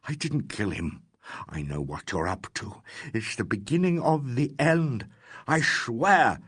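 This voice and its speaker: A man reading an audiobook voices a pompous, hysterical disgraced financier who rants in a shrill, trembling voice. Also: animated, unhurried, a bit bright, husky, dry recording, clean studio sound. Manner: shrill, indignant, trembling, ranting.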